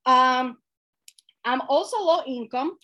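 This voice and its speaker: Woman, late 20s, fairly high voice, talking earnestly on a video call with lots of ums. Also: slow pace, muffled recording. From Dominican Republic. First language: Spanish. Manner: earnest, animated, with frequent fillers and soft laughs. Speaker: guest speaker. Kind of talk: presentation over video call.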